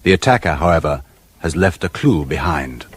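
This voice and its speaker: Male narrator, low-pitched, expressive intonation, scripted drama recap, faint music behind. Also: moderate pace, a little hiss or hum. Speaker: announcer narrator. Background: music under the voice.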